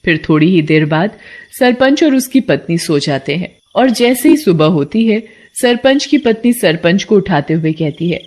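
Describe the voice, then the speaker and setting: A woman's voice: fairly low pitch, lively intonation, normal speed, darkish tone. A woman narrator reading a scripted cartoon folktale in a storytelling style.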